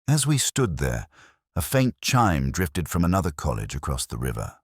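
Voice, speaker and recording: Very deep-voiced man acting a brooding detective narrator. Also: wildly swooping pitch, husky, dry recording, clean studio sound, heavily acted. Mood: brooding, reflective. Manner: measured, literary, brooding. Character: reflective, sceptical male English police detective inspector. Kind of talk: scripted first-person mystery narration.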